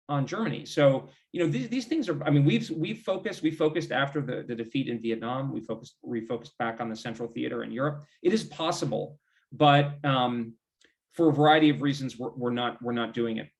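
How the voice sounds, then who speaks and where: A man with an American accent discussing policy informally as an interview guest, in a discursive, halting way, often qualifying and rephrasing himself. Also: mid-range voice.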